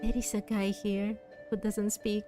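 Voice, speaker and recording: A woman's voice: mid-range voice, level tone, measured pace, over music. A woman hosting a gaming video, commenting casually and thinking aloud as she plays. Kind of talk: casual let's play commentary, reading game text aloud.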